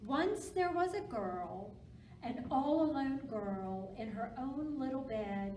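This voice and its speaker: A woman's voice: high voice, expressive, slow pace, darkish tone. A woman presenting a storytime for young children and reading aloud, speaking simply and encouragingly. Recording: roomy echo, distant mic, background noise.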